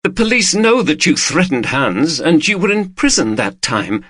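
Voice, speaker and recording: A man's voice: fairly high pitch, sing-song, moderate pace, no echo, voice pushed up. List: man putting on a frightened woman, tearful, anxious, dry close sound. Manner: anxious, pleading, rising to shouts. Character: frightened, tearful woman confronting her partner.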